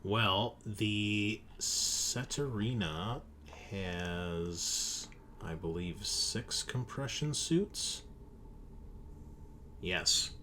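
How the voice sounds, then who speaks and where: A man improvising scene narration as game master of a tabletop roleplaying game, with halting, hesitant pauses. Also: lowish voice, sharp tone. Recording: noticeable background noise.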